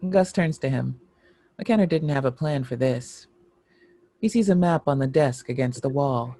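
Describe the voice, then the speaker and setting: A man's voice: mid-range voice, moderate pace, warm tone. A man giving scripted narration, reading a screenplay's stage directions.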